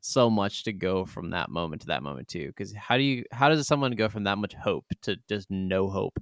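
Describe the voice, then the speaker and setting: A man's voice: low voice, moderate pace, dry recording, studio quality. A man co-hosting a podcast, chatting casually about video games and telling stories animatedly with plenty of swearing. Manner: animated storyteller, swears freely. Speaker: podcast co-host.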